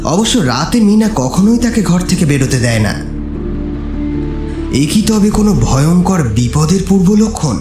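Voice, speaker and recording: Expressive male horror story narrator. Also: high voice, moderate pace. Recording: echoey, with music underneath. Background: music.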